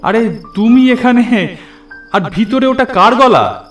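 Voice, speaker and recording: Guy doing a nervous stammery traveller, voice pushed up high, echoey spooky cartoon. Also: animated, takes it slowly, a bit bright, recorded from a distance, heavily acted. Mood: startled, nervous. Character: timid, ailing, flustered male traveller from the city. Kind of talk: Bengali horror cartoon voice acting. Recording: echoey, off the mic, music behind.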